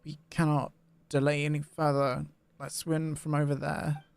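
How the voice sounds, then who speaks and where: A man voicing an earnest, devoted game character, pleading haltingly for a suffering parent. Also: a little high, completely flat delivery, vocal fry, smooth, voice pushed up. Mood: urgent.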